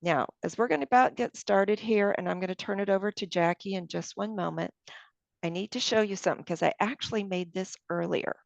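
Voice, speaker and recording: A woman's voice: medium pitch, fairly quick, creaky, no room sound. A woman leading a cooking class, walking viewers through each step aloud as she works. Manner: friendly, explains each step aloud while working. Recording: dull lo-fi sound.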